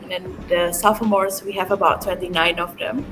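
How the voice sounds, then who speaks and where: A woman teacher from Indonesia being interviewed on a podcast, explaining things clearly. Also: fairly low pitch, steady tone, moderate pace, background noise. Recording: music clearly behind voice.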